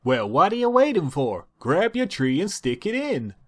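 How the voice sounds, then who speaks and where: A man's voice: on the high side, big pitch swings, normal speed, voice pushed up. A man voicing a hard-working young female farm pony with a folksy Southern American drawl, bossy and familiar. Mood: urging, strained.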